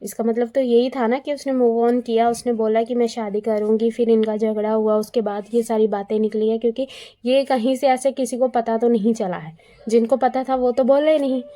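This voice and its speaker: A woman's voice: fairly high pitch, fairly even intonation, normal speed, warm tone. A woman giving casual, opinionated gossip commentary in a conversational way, repeating phrases for emphasis. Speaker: YouTube commentator.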